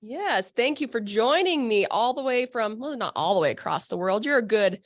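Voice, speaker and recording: A woman's voice: on the high side, expressive, moderate pace, dry recording. A woman hosting a podcast, talking in a bubbly, effusive way, full of exclamations. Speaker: podcast host. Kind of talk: casual interview chat. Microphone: video call microphone.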